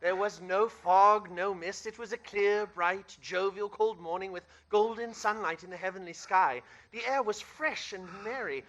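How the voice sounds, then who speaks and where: A man's voice: sits high, moderate pace, hardly any pauses, a bit bright, dull audio. A man playing the narrator of a Christmas radio play, telling the tale with emphatic theatrical delivery. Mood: merry, storytelling.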